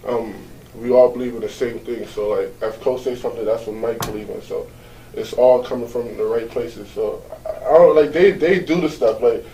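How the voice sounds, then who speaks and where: Young jamaica-born ballplayer, laid back, lots of "like", roomy echo, kinda far from the mic. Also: male voice, mid-range voice, moderate pace, a little hiss or hum. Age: young adult. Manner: casual, many "like" fillers, soft-spoken. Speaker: college basketball player.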